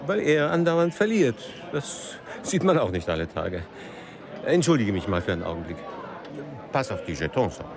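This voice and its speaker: An actor playing an obsessive, tightly controlled middle-aged man in a radio drama, speaking in measured, literary phrases. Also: mid-range voice, very animated, a loud crowd. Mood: elated, evasive. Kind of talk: radio drama acting with first-person narration.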